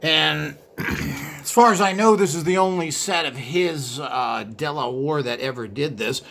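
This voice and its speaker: A man's voice: on the high side, a little slow, fairly bright tone. A man presenting an informal show-and-tell in a hesitant, rambling way, recorded indoors behind a handheld camera.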